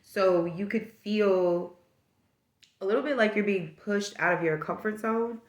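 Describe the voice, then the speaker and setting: A woman's voice: mid-range voice, unhurried, reverberant. A woman with a stuffed-up nose giving a casual tarot reading, musing aloud and rambling through possibilities. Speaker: tarot reader.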